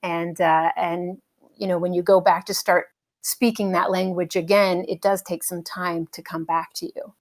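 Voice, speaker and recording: A middle-aged American woman, a helicopter pilot, speaking as an interview guest in a relaxed, thoughtful way with many fillers and light laughs. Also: on the low side, moderate pace. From United States. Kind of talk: casual conversational interview.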